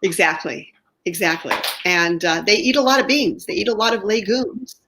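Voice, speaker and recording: A woman's voice: medium pitch, normal speed, background noise. A woman hosting an online interview show, chatty and enthusiastic, restarting her sentences often. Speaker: show host.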